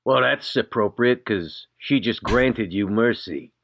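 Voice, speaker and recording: A man's voice: fairly low pitch, a little slow, gravelly, fairly bright tone. A man playing a wisecracking tough-guy male vampire with a blunt, dry, curt manner. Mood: wry, menacing.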